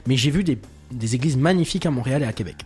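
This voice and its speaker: A woman with a low voice talks quickly as a radio co-host. Her chat is informal and wry, with music in the background. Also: expressive, warm tone, husky. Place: radio studio.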